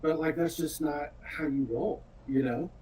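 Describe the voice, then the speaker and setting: A man's voice: fairly high pitch, measured pace, darkish tone, far from the mic, a little hiss or hum, poor audio. A man in a casual developer chat, speaking earnestly and informally in an appreciative manner. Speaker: game developer.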